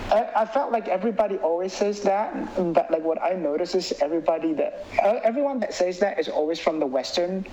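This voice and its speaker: Higher-voiced Indonesian-accented man, rambling. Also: brisk, few pauses, muffled recording. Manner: animated, rambling, peppers talk with "like" and "you know". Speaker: filmmaker and writer being interviewed. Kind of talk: casual interview chat.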